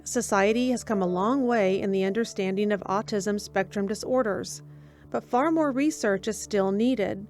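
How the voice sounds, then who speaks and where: A woman reading scripted documentary narration in a measured, even way with slow pauses. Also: medium pitch, faint music behind.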